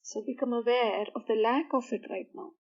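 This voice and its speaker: A woman guiding a sleep meditation in a soothing, measured manner, pausing between short phrases. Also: fairly high pitch, muffled recording. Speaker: meditation guide. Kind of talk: guided meditation for sleep, slow instruction.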